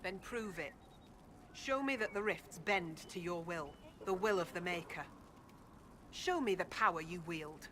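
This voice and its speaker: An actor playing a stern religious zealot in a fantasy game, with a commanding, challenging delivery. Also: male voice, high-pitched, unhurried, bright, forceful tone, slight background noise. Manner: commanding, accusatory. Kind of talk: video game dialogue acting.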